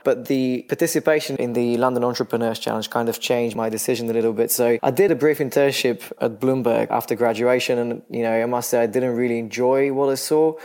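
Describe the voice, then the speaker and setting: A man in his thirties from Bulgaria, a startup founder giving relaxed, self-deprecating interview answers full of fillers and restarts. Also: mid-range voice, fairly quick, hardly any pauses.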